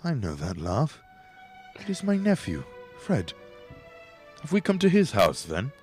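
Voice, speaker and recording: A man's voice: medium pitch, wildly swooping pitch, unhurried, a little mellow, soft background music. A man acting a humbled, awed old miser in a radio play, earnest and hesitant. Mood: eager.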